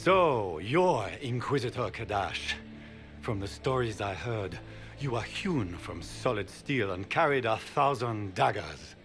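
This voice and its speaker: An actor playing a scheming grand duke who woos allies with smooth, persuasive speech in a game cutscene. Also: male voice, fairly low pitch, expressive, takes it slowly, music in the background. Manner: smooth, persuasive, theatrical emphasis. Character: scheming, charming noble grand duke, middle-aged man. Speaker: grand duke seeking the throne. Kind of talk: video game cutscene dialogue.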